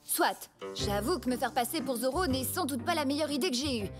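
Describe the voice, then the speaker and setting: A woman's voice: sits high, expressive, brisk. A woman voicing a spirited young woman in a French cartoon dub, a little sheepish and wry. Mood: wry, doubtful. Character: spirited young woman, secretly a masked adventurer, evasive. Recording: music bed under dialogue.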